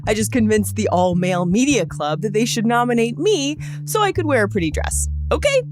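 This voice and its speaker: A woman's voice: fairly high pitch, lively intonation, fairly quick, music in the background. A woman hosting a podcast, reading scripted narration in a conversational storytelling style with wry, joking asides. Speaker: podcast host.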